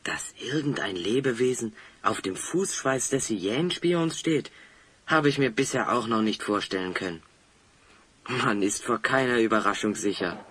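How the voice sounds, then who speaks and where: Husky, wry adventurer. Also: male voice, medium pitch, lively intonation, moderate pace, fairly bright tone, dry recording. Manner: dry, wry. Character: calm, wry male adventurer, steadying his companion. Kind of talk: German audio drama acting.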